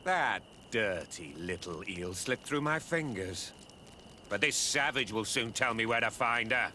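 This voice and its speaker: An actor playing a menacing, cruel inquisitor in a video game, with a hard, sneering manner. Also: male voice, fairly low pitch, animated, unhurried, pushed and bright, slight background noise, exaggerated voice. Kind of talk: video game cutscene dialogue.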